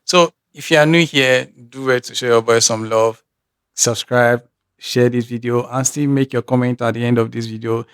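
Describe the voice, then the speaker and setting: A man with a Nigerian accent hosts a reaction video in a rambling, musing way and often restarts his sentences. His voice sits at an ordinary middle pitch. The sound is dry and close, with no room echo. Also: fairly even intonation, normal speed, fairly bright tone, clear, resonant tone. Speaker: reaction channel host. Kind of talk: casual reaction commentary.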